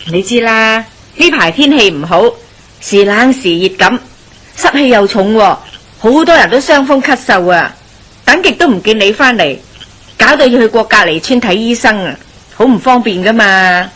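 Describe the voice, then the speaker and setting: A high-voiced, chatty village busybody. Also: female voice, lively intonation, moderate pace, fairly bright tone. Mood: chatty, solicitous. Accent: Hong Kong Cantonese. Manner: gossipy, exclamatory, eager. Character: chatty, nosy, warm-hearted village married woman. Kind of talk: radio drama acting.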